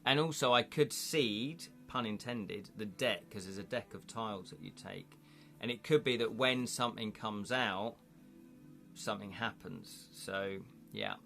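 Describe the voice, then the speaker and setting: A man's voice: on the low side, bright, forceful tone. A man with an English accent hosting a casual live stream, chatting and thinking aloud as he reads viewer comments. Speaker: livestream host.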